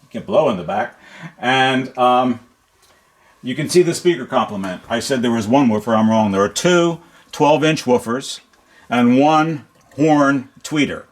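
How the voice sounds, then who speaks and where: A man's voice: medium pitch, animated, unhurried. Man casually explaining vintage equipment. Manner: explanatory, technical. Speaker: antique radio collector demonstrating his sets. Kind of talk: casual unscripted demonstration. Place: inside a home.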